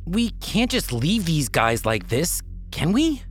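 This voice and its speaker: A man doing a high, anxious lanky teen boy. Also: very animated, slow pace, soft background music. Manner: pleading, high-pitched worried voice.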